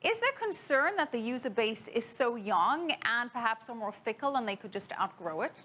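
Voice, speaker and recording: High-pitched female news anchor. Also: big pitch swings, moderate pace, pushed and bright. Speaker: news anchor interviewing a guest. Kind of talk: broadcast news interview.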